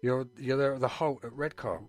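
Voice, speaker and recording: A man's voice: medium pitch, fairly even intonation, a little fast, soft background music. A man co-hosting a radio show, putting casual interview questions to a guest.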